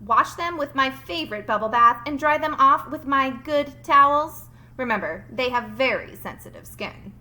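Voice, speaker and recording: A woman reading out the notes of a sly, demanding trickster duck in a children's picture book, emphatic and deliberate. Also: sits high, lively intonation, pushed and bright, exaggerated voice. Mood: bossy, fussy.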